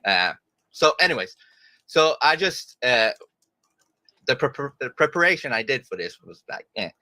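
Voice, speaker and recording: A man's voice: medium pitch, sing-song, normal speed, pushed and bright, dry recording. A man from Sweden giving an opening statement in a debate, hesitant and searching for words, with many fillers.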